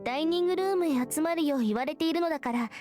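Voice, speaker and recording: A woman's voice: very high-pitched, rapid-fire. An actress playing a genteel teenage academy schoolgirl in a Japanese visual novel. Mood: polite, composed. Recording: loud music behind game dialogue.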